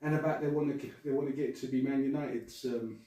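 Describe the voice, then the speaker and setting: A man's voice: medium pitch, steady tone, quick pace, warm tone, distant mic. A man hosting a casual podcast chat, rambling, laughing and swearing casually. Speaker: podcast host. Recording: very echoey room, off-mic.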